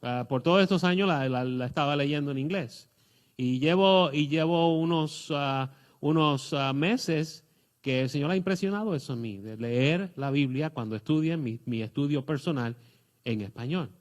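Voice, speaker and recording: A middle-aged man with a Puerto Rican accent preaching a sermon, pausing often with fillers and restarts. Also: a little high. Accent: Puerto Rican Spanish. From Puerto Rico. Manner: hesitant, many fillers and restarts. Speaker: pastor.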